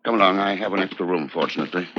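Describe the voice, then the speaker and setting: An actor playing a courteous, sceptical British gentleman with a dry, understated manner, in 1950s radio drama style. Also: sits low, very fast, a little hiss or hum. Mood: polite, obliging. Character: unflappable, polite, sceptical British gentleman, narrating his adventure. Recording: muffled 1950s radio recording, music behind.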